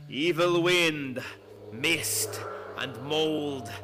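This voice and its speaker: A man's voice: fairly high pitch, extremely slow, music in the background. An actor playing an imperious vampire lord in a radio play, chanting to his minions in a grand, brooding manner. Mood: incantatory, menacing.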